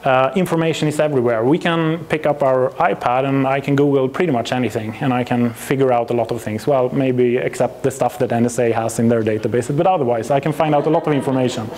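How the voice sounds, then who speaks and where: A man's voice: mid-range voice, steady tone, fast talker, few pauses, a little mellow. Swedish-accented man giving a conference talk. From Sweden. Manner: chatty, self-deprecating, with frequent ums and restarts. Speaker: conference presenter. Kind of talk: conference presentation. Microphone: lapel mic.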